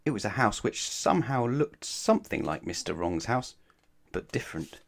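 A man reading a children's storybook aloud expressively, with dramatic, deliberate emphasis. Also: on the low side, big pitch swings, creaky. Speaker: storybook narrator.

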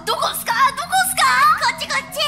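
A squeaky excited anime kid, sharp and really high. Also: female voice, rapid-fire, faint music behind, full character voice. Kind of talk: anime drama CD acting.

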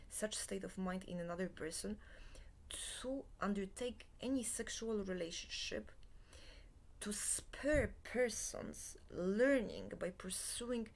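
Slow, halting Polish-native woman. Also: mid-range voice, slight background noise. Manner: halting, many filler pauses, often half-whispered. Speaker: self-help lecturer. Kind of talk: slow unscripted monologue to webcam. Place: small room in a bed and breakfast. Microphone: laptop webcam.

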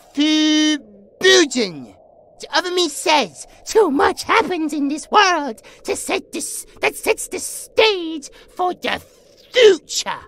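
Possessed small boy, woman actor: piping, animated, repetitive baby talk for a horror audio drama. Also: extremely high pitch, vocal fry, full character voice. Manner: babyish third-person speech, stammering, repetitive. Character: childlike, playful possessed boy, easily delighted.

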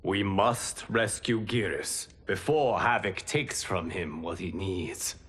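A wise guardian deity from a fantasy game. He speaks low and slowly, with formal, grave authority. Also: a bit bright, breathy edge, heavily acted. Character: solemn, wise male fire god, protector of realms. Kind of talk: measured dramatic game cutscene acting.

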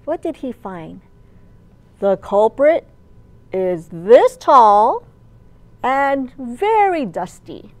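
A woman in her thirties, a children's librarian, telling a picture-book story in an animated, clearly enunciated way. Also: on the high side, extremely slow, pauses often. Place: large indoor library room.

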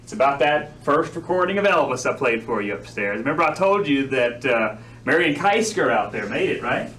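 A man's voice: mid-range voice, expressive, fairly bright tone. Echoey, distant man giving showy tour patter. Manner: emphatic, showman-like, dramatic pauses. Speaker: tour guide. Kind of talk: theatrical, practised tour patter. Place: small indoor studio room with onlookers. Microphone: visitor's camera from across the room. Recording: echoey room from far off, faint noise.

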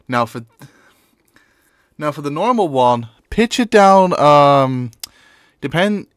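A man's voice: a little high, expressive, slow, clear, resonant tone, dry recording. Male tutorial presenter, informal and offhand, recorded dry with very little room sound. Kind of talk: casual screen-recorded software tutorial.

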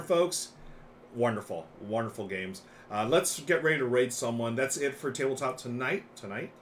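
A middle-aged man hosting a board game live stream, chatting casually and enthusiastically as he explains games. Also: mid-range voice. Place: small room lined with board games. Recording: clear steady background noise.

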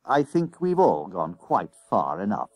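A man voicing a kindly, calm schoolmaster, measured, courteous and gently wry, in an audiobook story. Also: deep voice, slightly rough, no echo, exaggerated voice. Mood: polite, firm. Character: kindly, polite, calm middle-aged schoolmaster.

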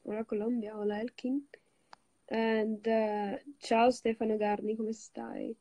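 A woman's voice: a little high, a little slow, dry recording. A young woman from Switzerland, first language Italian, chatting casually with viewers on a phone livestream while lying on a bed. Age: young adult. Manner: greets viewers, switches languages, relaxed and chatty. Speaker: livestream host. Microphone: phone held at arm's length.

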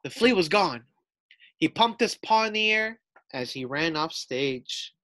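Animated, unhurried man reading to children. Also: a little high, dry recording. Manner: expressive, explains and asks questions. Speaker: storybook reader, teacher. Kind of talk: children's read-aloud with asides to pupils.

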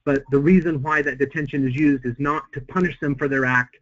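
A man with a slightly hoarse voice from a cold, giving an unscripted webinar presentation full of "uh" fillers and restarts. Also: fairly high pitch, steady tone, a bit bright, dull audio. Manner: halting, frequent "uh" fillers and restarts. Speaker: webinar presenter, center president.

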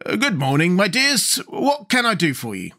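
A man's voice: a little high, sing-song, a little fast, pushed and bright, voice pushed up, full character voice. A man voicing a pleasant, easygoing fat gatekeeper who is easily astonished, in a storybook. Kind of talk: storybook character voice.

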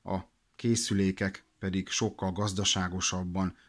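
A man's voice: low-pitched, level tone. A man explaining products in an informal instructional way, practical and a little hesitant in his delivery. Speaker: product demonstrator for a radio shop.

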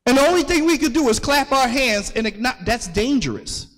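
A man delivers a sermon with a notably high voice, pausing to have scripture read aloud and echoing the lines back to the gathering. The sound carries a long reverb from a large hall. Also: animated, a bit bright, soft background music. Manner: calls for readings and repeats the verses back to the congregation. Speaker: preacher.

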